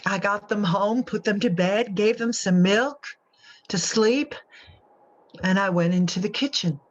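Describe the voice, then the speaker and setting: A woman playing a devout, unsettled imprisoned mother in a radio drama, giving a halting, wistful confessional monologue. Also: mid-range voice, dull audio, natural voice. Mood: brooding. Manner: halting, wistful, folksy, brittle.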